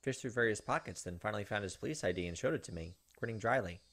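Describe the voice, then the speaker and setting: A man, perhaps in his thirties, reading visual novel narration aloud and commenting, with dramatic, halting delivery. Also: on the low side, creaky, fairly bright tone. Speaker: gaming video host. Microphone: desk microphone close up. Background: game music.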